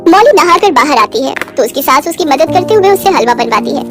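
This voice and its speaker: A woman's voice: high voice, lively intonation, fairly quick. A woman narrating a scripted story as its storyteller. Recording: music behind the voice.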